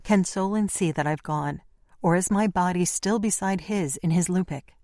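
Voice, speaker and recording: A man's voice: sits high, moderate pace, darkish tone, no room sound, studio quality, voice pushed up. A man voicing a frightened, confused young woman in an audiobook, speaking anxiously in a raised voice. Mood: fearful. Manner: anxious, questioning.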